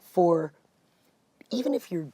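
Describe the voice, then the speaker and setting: A woman musician telling her story in a relaxed interview, animated and chatty, calling people "man.". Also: fairly low pitch, normal speed, a crowd in the background. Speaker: guest musician and vocalist. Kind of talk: casual interview chat.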